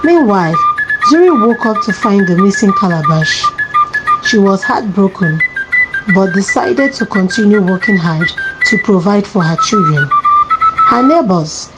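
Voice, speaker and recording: A woman's voice: medium pitch, very animated, a little slow, over loud music, rough recording. A woman reading a scripted folktale for children as a storyteller.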